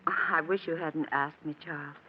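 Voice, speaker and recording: An actress playing a gentle, sorrowful woman in love with a married man, restrained and earnest, in a 1940s radio drama. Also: deep voice, fairly even intonation, a little fast, lightly acted. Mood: reluctant. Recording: dull, hissy old radio broadcast.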